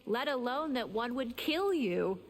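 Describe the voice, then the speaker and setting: A woman's voice: fairly high pitch, animated, measured pace, faint music behind. A woman voicing a predatory, seductive vampire in a video game, teasing her prey with menace. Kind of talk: video game character acting. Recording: loud noise behind the voice.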